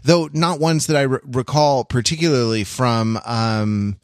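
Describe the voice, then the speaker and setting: A man hosting a casual podcast discussion, talking in a rambling, halting way and often repeating words mid-sentence. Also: medium pitch, no room sound, clean studio sound. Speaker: podcast host.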